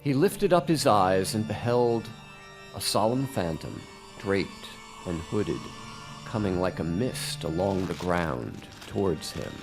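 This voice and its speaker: Slow solemn guy narrating a radio drama, music playing behind. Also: sits low, animated. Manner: solemn, measured.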